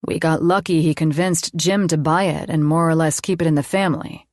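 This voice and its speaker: A woman's voice: deep voice, steady tone, brisk, a little mellow, no room sound, clean studio sound, close to an everyday voice. A woman voicing an easygoing, proud, teasing man who talks casually and confidently in a storybook.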